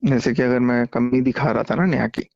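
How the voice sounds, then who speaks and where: A man confiding casually on a recorded phone call, in a hushed, halting way. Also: mid-range voice, steady tone, vocal fry, background noise.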